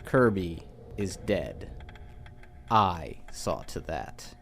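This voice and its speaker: A deep, cold gloating killer. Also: male voice, big pitch swings, drawn-out words, creaky, a bit bright, slight background noise, exaggerated voice.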